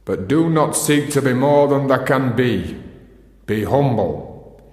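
An actor in a radio play as a solemn Masonic master, speaking in ceremonial, archaic phrases in an echoing hall. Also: male voice, mid-range voice, animated, takes it slowly, a little mellow. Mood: grave, commanding. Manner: ceremonial, incantatory, archaic diction. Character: solemn Masonic master leading an initiation ritual.

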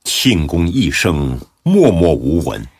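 Very deep, husky male narrator. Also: very animated, slow pace, a little mellow, dry recording, studio quality. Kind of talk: scripted storytelling narration.

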